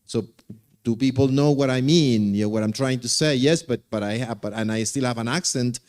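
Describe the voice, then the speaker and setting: A man's voice: medium pitch, brisk, a little mellow. A man with a Colombian accent, a native Spanish speaker, replying to audience comments at a conference and repeating key words for emphasis. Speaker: conference presenter.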